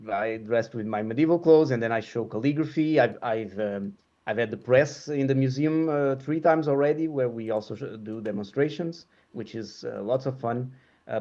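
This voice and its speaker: A middle-aged man from Portugal talks in a relaxed interview, stopping and restarting often and filling the gaps with ums. His voice sits at an ordinary pitch. The sound comes over a video call and is slightly dull. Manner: halting, many fillers and restarts. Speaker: guest printmaker. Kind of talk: casual interview talk.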